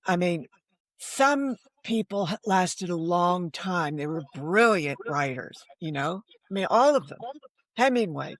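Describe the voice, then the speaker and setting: A woman's voice: fairly low pitch, gravelly, no echo, low-quality recording. Older American woman, candid storyteller. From Connecticut, United States. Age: older, about 70. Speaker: novelist, interview guest.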